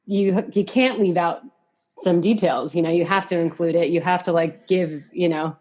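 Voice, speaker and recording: A woman's voice: fairly low pitch, normal speed, a little mellow. A woman in her thirties chatting casually as a podcast guest, candid and giggly, peppering her talk with "like". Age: 30s. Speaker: podcast guest, musician and hairstylist. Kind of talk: casual chat.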